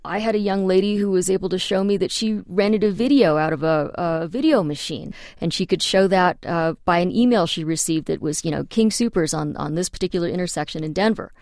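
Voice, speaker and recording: A woman with a lowish voice explains legal rules the way an attorney does, walking through practical examples. Her voice is recorded close and dry, with no room around it. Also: fairly quick, few pauses, darkish tone, dry recording. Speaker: immigration attorney. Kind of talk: interview answers.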